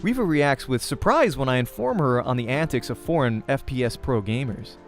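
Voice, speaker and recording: A man hosts a let's play, reading visual novel narration aloud. His voice sits at a middle pitch. Music plays behind him. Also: animated, a little fast. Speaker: let's play host and reader.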